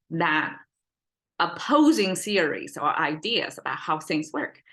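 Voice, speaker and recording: Casting: female language-lesson host, native Mandarin speaker with Chinese accent, slightly low bright voice, informal, meandering, checks in with listeners. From China. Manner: rambling, self-correcting, checks in with listeners. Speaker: language teacher, podcast host.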